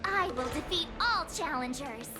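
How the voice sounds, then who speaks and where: A perky teenage girl from a video game, a novice fighter with a very high, girlish voice. She is dramatic and wavers between bravado and nerves. Loud game music plays behind her. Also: expressive, normal speed. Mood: proud, determined. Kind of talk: scripted video game voice acting.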